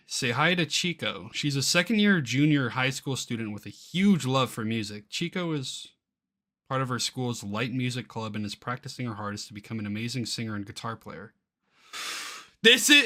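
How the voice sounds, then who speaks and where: Excitable man with a bright, forceful voice. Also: medium pitch, moderate pace. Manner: excitable, easily incredulous, exclaiming. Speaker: YouTuber reacting to a game's crowdfunding page. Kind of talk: casual reaction commentary, reading aloud from a web page.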